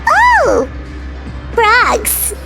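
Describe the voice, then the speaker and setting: A woman voicing a tiny, excitable, childlike game companion with a chirpy, expressive delivery. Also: extremely high pitch, extremely slow, creaky, over loud music, heavily acted. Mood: wary, squeamish. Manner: chirpy, expressive, reacts openly. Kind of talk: scripted video game dialogue.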